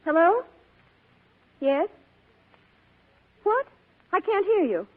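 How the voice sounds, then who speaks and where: An actress playing an anxious, excitable detective's secretary in 1940s radio style, on a tinny vintage broadcast. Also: female voice, extremely high pitch, very slow, full character voice. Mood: alarmed. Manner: excitable, startled, eager. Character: anxious, breathless young female detective's secretary.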